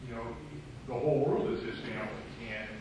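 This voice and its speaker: A man's voice: mid-range voice, fairly quick. A man sharing reflective thoughts in a group Bible study with many fillers, recorded far off in an echoey, noisy room. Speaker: participant.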